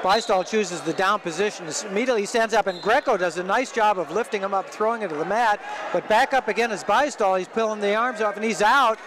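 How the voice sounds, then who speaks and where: A man's voice: sits high, fairly quick. A man doing live radio play-by-play of wrestling in a conversational way. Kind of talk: live sports commentary. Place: indoor sports arena, matside. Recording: noisy live arena with crowd behind. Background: crowd noise and gym bustle.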